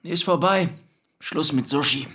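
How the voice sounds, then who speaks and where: A man's voice: fairly high pitch, a little slow, fairly bright tone, slightly rough. An actor playing a defiant, boastful young man, a restless dropout, talking colloquially and insistently in a radio drama. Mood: indignant. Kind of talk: German radio play acting, monologue and dialogue.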